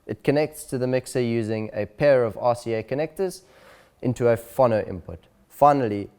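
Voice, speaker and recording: A man presenting an instructional video in a clear, deliberate, step-by-step way. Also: medium pitch, darkish tone. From South Africa.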